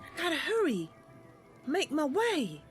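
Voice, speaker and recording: A woman acts out a young wood elf adventurer with a high voice. She is earnest and careful, muttering her hesitant thoughts aloud in a fantasy game, with faint music behind her. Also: wildly swooping pitch, measured pace, exaggerated voice. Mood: cautious, uneasy. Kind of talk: in-character video game roleplay.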